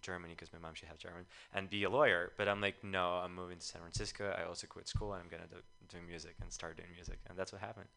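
A man's voice: low voice, level tone, fast talker, vocal fry, hardly any pauses, sharp tone. A young man from Ukraine, a musician guest telling his story in a casual interview, often quoting himself. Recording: radio show studio.

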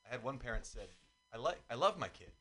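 A man's voice: medium pitch, expressive, fast talker, pushed and bright, slight background noise. A man who is a guest in a radio booth, joking wryly in a casual chat. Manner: wry, joking.

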